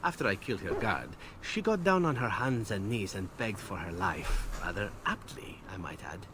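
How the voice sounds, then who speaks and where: Guy acting as a sly flirty assassin from a video game, really animated pitch, glib and teasing, noisy background. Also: moderate pace, natural voice. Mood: sly storytelling. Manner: suave, glib, teasing storytelling.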